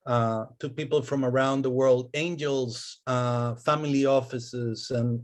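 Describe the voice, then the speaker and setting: Costa Rican man presenting at a webinar. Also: medium pitch. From Costa Rica. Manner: friendly, fluent with frequent fillers and restarts. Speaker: venture capital investor relations partner.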